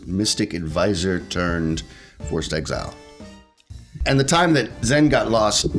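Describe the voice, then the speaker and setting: A man who plays in a tabletop role-playing podcast, chatting casually about his character. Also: fairly low pitch. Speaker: podcast cast member, tabletop RPG player. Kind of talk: casual chat, describing his game character.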